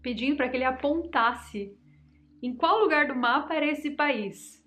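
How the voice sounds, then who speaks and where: A woman's voice: sits high, moderate pace, fairly bright tone. A young Brazilian woman hosting a channel, chattily and dramatically telling mystery stories straight to her viewers. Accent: Brazilian Portuguese. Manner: chatty, dramatic, addresses the audience directly. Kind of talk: casual storytelling to viewers.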